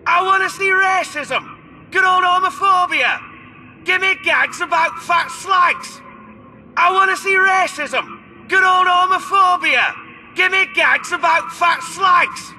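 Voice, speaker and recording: A man playing a crude, foul-mouthed heckler in a comedy sketch, brash and yelling, on a very noisy recording. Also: very high voice, big pitch swings, normal speed, full character voice. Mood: demanding, rowdy.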